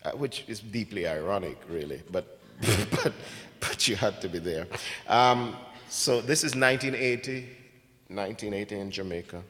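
A poet from Ghana, a man, reading his poems in a measured, deliberate way, with hesitant spoken asides between them. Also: on the low side, a bit bright. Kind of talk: poetry reading with spoken introductions. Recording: long hall reverb.

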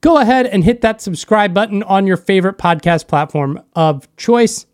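Higher-voiced male podcast host. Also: normal speed, darkish tone. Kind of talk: podcast interview with scripted-sounding intro.

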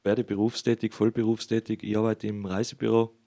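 A man's voice: fairly low pitch, little pitch movement, fairly quick, warm tone. A man with a Tyrolean Austrian accent, a musician chatting casually as an interview guest.

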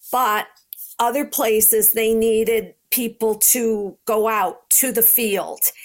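Older American woman, slow-paced, higher voice. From Rochester, New York, United States. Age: 70s. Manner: unhurried, conversational storytelling. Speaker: interviewee, Red Cross veteran. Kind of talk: personal recollection in an interview.

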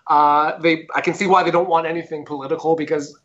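A man's voice: on the high side, flat delivery, quick pace, a bit bright, highs cut off. A man co-hosting a podcast, talking in a rambling, sarcastic and sweary way. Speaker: podcast co-host. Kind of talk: casual opinionated chat.

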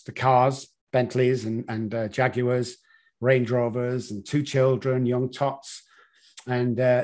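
A middle-aged man with a Manchester accent, a guest telling his life story in an animated, rambling way with many fillers. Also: mid-range voice. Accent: Mancunian English. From Manchester, England. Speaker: guest, addiction consultant. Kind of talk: conversational storytelling.

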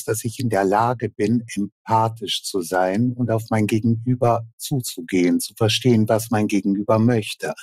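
Ein Mann, der als Experte in einer Online-Runde klar und strukturiert argumentiert. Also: male voice, fairly low pitch, warm tone, no echo. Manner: articulate, structured delivery. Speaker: panel expert. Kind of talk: panel discussion answer.

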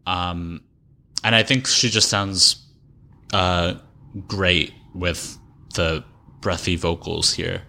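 A man's voice: sits low, steady tone, slow, vocal fry, long pauses, bright, forceful tone. A man co-hosting a casual music discussion in a hedging, conversational manner. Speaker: podcast co-host.

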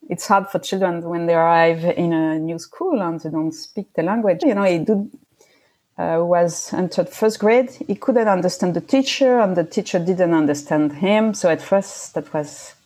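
A woman's voice: on the low side, normal speed, mellow. A middle-aged woman from Switzerland, talking thoughtfully in an interview with frequent restarts. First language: French. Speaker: guest. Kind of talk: casual interview conversation.

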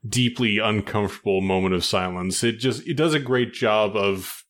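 A man in a casual review chat gives his opinions in a thoughtful, measured way. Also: lowish voice, a bit bright. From United States.

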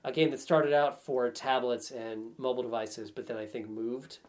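A man's voice: medium pitch, fairly even intonation, moderate pace, a little mellow. A man chatting casually as a podcast guest, with a wry, joking way of talking. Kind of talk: casual chat about video games.

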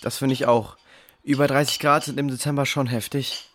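A man's voice: mid-range voice, little pitch movement, normal speed, a bit bright, a little hiss or hum, close to an everyday voice. Male actor as inquisitive, sensible boy. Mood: curious, concerned. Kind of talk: children's audio play acting.

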